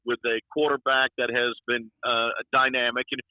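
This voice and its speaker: Over a narrow, dry phone-like connection, an American man speaks at a middle pitch. He is a sports broadcaster answering interview questions in a conversational way. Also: level tone, moderate pace, no echo. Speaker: radio play-by-play sports announcer. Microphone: phone or remote call. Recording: tinny, dry phone-like line.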